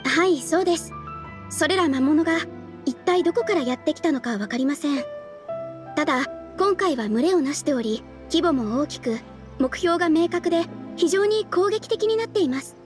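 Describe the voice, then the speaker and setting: Very high polite young receptionist lady, formal n earnest, game quest dialogue w music behind. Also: animated, normal speed. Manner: formal, courteous, businesslike. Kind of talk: Japanese game dub, quest briefing dialogue.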